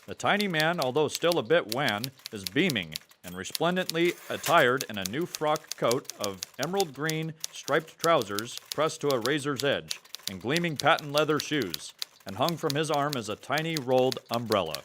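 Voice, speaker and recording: A man's voice: medium pitch, animated, moderate pace, hardly any pauses, background noise. A man giving dramatic scripted narration in the style of a 1940s radio adventure serial.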